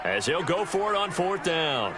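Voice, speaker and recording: A man's voice: on the high side, big pitch swings, normal speed. A man with an American accent calling football play-by-play as an announcer in a video game. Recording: game audio under loud stadium noise.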